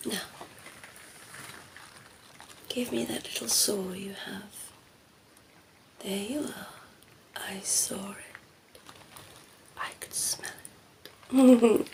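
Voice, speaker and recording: A cheeky female vampire played by a woman murmurs and whispers in an unhurried, creaky voice. She coos at humans with teasing, condescending affection, in the close, breathy style of ASMR roleplay. Clear background noise sits under the voice. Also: mid-range voice, steady tone, darkish tone, poor audio. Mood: flirty, doting. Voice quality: breathy, often dropping into a whisper. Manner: slow, teasing, cooing.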